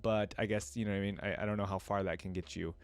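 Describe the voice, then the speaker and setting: Low-voiced man, casually thinking aloud. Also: fairly even intonation, fast, clear, resonant tone, soft background music. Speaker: reaction video host. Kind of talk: casual gaming reaction commentary.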